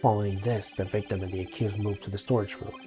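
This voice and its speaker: A woman voicing a cool, condescending male prosecutor in a courtroom game, speaking quietly and formally. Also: extremely low pitch, fairly even intonation, fast talker, over music, voice pushed down. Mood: cool, disdainful.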